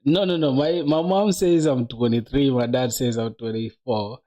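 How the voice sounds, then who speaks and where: A man in his twenties with a soft-edged, mellow voice and an average pitch. He talks through code informally, like a streamer answering chat, using plenty of slang and speaking to viewers like close buddies. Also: fairly even intonation, moderate pace. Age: 20s. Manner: slangy, addresses viewers as bro and dog. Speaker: coding livestreamer. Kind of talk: casual live-stream chat while coding.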